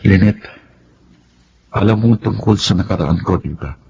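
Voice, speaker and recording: A young adult older brother in a radio drama, carrying hurt and resentment. His voice sits fairly low, with some noise in the recording. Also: moderate pace, creaky, mellow, dull audio. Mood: troubled. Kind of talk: Tagalog radio drama acting.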